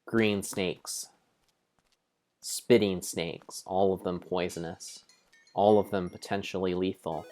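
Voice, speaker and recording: A man giving an improvised dramatic narration of a game like an adventure storybook, with deliberate, drawn-out phrasing. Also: low voice, steady tone, a little mellow, faint music behind.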